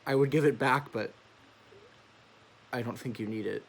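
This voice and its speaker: An actor playing a sentimental, grieving teenage boyfriend, halting through a stage drama letter monologue. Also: male voice, medium pitch, vocal fry. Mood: grieving, awkward. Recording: faint background noise.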